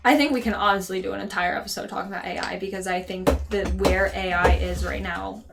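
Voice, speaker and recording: A woman's voice: medium pitch, fairly even intonation, quick pace, creaky, over loud music. A woman in her late twenties bantering casually as a podcast co-host.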